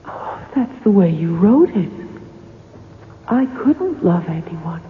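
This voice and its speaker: A woman's voice: fairly low pitch, very animated, takes it slowly. An actress playing a coquettish, self-absorbed ghostly fictional woman, airy and teasing, in 1970s radio drama style. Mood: teasing, dreamy. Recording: echoing, tinny, noisy old radio recording.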